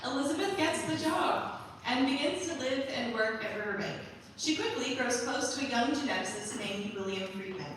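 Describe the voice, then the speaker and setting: Echoey, distant woman presenter, higher-pitched. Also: animated, normal speed, hardly any pauses, a bit bright, slight background noise. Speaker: presenter of her own musical. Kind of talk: scripted narration introducing songs. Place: hall at a staged reading. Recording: very echoey hall, distant, faint music.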